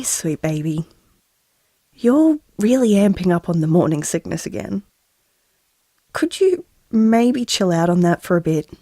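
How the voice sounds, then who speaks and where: An actress playing a tender, wry pregnant woman musing gently to her unborn baby in an audio drama. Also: lowish voice, no room sound, studio quality. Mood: weary, playful. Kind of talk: intimate audio drama monologue.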